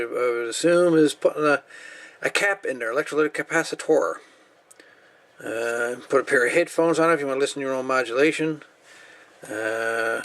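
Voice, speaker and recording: A man's voice: mid-range voice, a bit bright. A man hosting a casual hands-on review and repair video, talking in a folksy, colloquial way. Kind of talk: casual hands-on demonstration talk. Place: indoor workbench.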